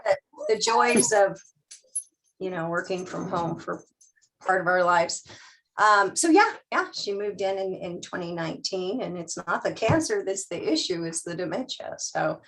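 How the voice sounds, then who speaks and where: A woman's voice: mid-range voice, lively intonation, a little slow, fairly bright tone, poor audio. Middle-aged woman guest speaker, chatty and self-deprecating, heard over a video call. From California. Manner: chatty, self-deprecating, many fillers and restarts. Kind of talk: casual chat then presentation on Zoom.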